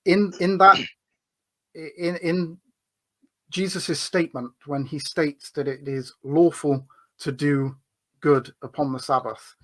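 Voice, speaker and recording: A man's voice: a little high, fairly even intonation, slow, a bit bright. A man arguing in a debate, hesitant, with many filler words and restarts. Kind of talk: debate argument.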